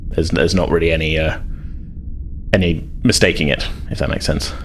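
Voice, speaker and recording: A man's voice: a bass voice, steady tone, normal speed, slight background noise. A man narrating a tabletop game as game master, improvising and searching for words.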